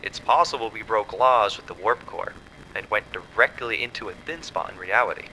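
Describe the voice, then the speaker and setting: An actor playing an uneasy research scientist in a horror audio drama, explaining findings in an earnest, tentative, analytical way. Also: male voice, on the low side, lively intonation, moderate pace, bright, forceful tone, slight background noise, low-quality recording. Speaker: scientist's assistant.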